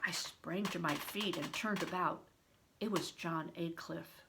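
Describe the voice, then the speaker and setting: An older woman reading a children's novel aloud in an expressive storytelling manner. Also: lowish voice, measured pace, creaky, noisy background. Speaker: reader.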